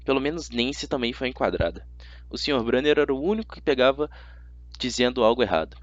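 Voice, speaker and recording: A man's voice: mid-range voice, animated, quick pace, dry recording, lo-fi sound. A man with a Brazilian accent reading a first-person story as an amateur audiobook narrator, expressively.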